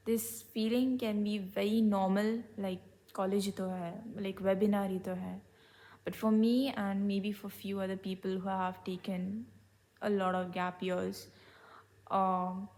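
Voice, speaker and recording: A young woman with an Indian English accent, a little hoarse from being unwell, telling a personal story in a candid, reflective vlog and slipping into Hindi mid-sentence. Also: mid-range voice. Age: young adult. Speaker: vlogger.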